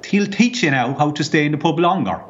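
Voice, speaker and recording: Guy doing a rough irish pub regular, swearing a lot, kinda high voice, muffled phone sound. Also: animated, brisk, a bit bright. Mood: rambling. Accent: Irish, Offaly. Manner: crude, heavy swearing, rambling, pushy. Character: boozy rough rural Irish man, pub regular, crude. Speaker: caller.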